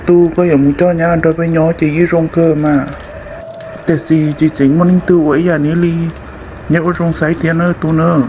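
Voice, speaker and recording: A man voicing an older man in an amateur folk-tale cartoon dub, speaking slowly and flatly. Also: fairly high pitch, slight background noise, close to an everyday voice. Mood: stern.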